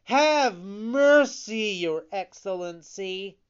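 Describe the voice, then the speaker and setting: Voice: mid-range voice, animated, very slow, clean tone, dull audio, pitched above the performer's own voice. A narrator voicing a brave little wooden boy puppet who speaks imploringly and with great feeling. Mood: pleading.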